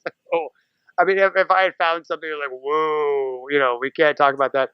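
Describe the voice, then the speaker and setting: A man's voice: fairly high pitch, fairly even intonation, moderate pace, clean tone, dry recording, dull audio. An American man chatting casually as a podcast guest, rambling and acting out his own thoughts as he goes. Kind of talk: casual podcast interview chat.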